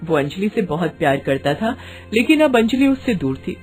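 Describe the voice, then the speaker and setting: A woman's voice: on the low side, very animated, normal speed, a little mellow, soft background music. A woman narrating a scripted bedtime story in a dramatic storytelling style.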